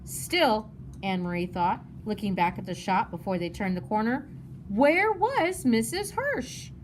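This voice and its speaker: A woman's voice: mid-range voice, animated, a little slow, slight background noise. A woman narrating a children's novel as a read-aloud.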